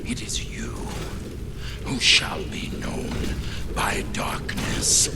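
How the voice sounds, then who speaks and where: An actor voicing a wrathful, tormented monstrous being in a video game, slow and theatrical with a strained gravelly voice. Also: male voice. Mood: vengeful rage. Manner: theatrical, slow, swinging from whisper to roar. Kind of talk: video game villain acting. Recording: music behind.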